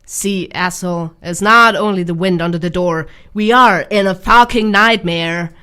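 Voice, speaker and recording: A woman acting in a radio drama as an excitable, flustered young hostess who stammers and repeats herself. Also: lowish voice, moderate pace, clean tone. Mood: sharp, alarmed.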